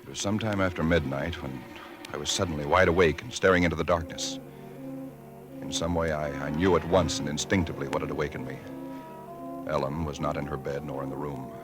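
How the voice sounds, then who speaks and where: An actor playing a shaken young husband who narrates his own story in a tense, halting way, stumbling over words under strain, in a 1940s radio drama. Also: very deep voice, steady tone, over music. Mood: haunted.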